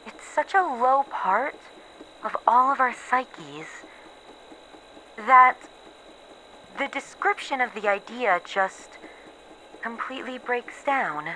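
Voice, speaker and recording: A woman playing a weary, haunted researcher who is losing her memories, in a halting, introspective horror audio roleplay monologue. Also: on the high side, lively intonation, pushed and bright, slight background noise, exaggerated voice, poor audio. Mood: pensive, unsettled. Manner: halting, introspective, pausing between short phrases.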